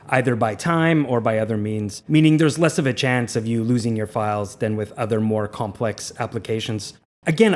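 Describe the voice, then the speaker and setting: Slightly raspy man explaining music software. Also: mid-range voice. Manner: curious, candid explainer. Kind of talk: scripted video narration.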